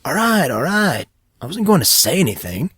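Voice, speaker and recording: A man's voice: a little high, sing-song, normal speed, a bit bright, husky, dry recording, clean studio sound, pitched above the performer's own voice, full character voice. A man voicing a brash, movie-mad teenage schoolboy in an audiobook, casual and teasing, with slangy talk. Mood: protesting. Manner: slangy, says "bro" often.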